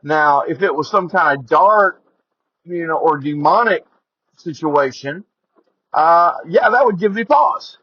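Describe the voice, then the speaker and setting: A man's voice: on the high side, expressive, measured pace, slightly rough. A man hosting a podcast in a casual, informal, jokey way, often interrupting himself. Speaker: podcast host. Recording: dull lo-fi audio.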